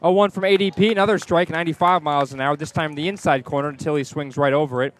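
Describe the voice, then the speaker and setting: A man's voice: on the high side, fairly even intonation, quick pace. A man calling live baseball play-by-play on the radio from the ballpark. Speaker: play-by-play sports announcer. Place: outdoors at a baseball stadium.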